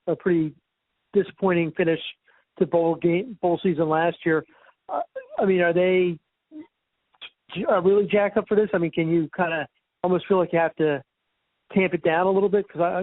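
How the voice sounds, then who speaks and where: A man's voice: on the high side, no room sound. A man, a sports reporter, asking brief, casual questions on a press conference call. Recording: tinny dry phone line.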